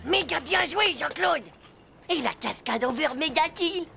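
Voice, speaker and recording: A woman playing a brash, scheming, cocky schoolboy with a gravelly voice in a French children's cartoon. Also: sits high, lively intonation, measured pace, pushed and bright, soft background music, heavily acted. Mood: cocky, excited. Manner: cocky, slangy playground talk. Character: brash scheming schoolboy dreaming of kissing girls.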